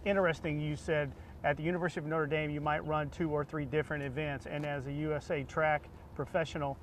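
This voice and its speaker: A man's voice: a little high, level tone, fast talker, a little hiss or hum. An American man speaking as the host of a conversational interview, putting questions to his guest.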